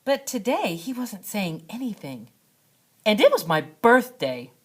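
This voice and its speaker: Animated woman reading a children's storybook. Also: mid-range voice, measured pace, slightly rough. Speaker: second grade teacher reading aloud. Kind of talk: expressive children's storybook narration.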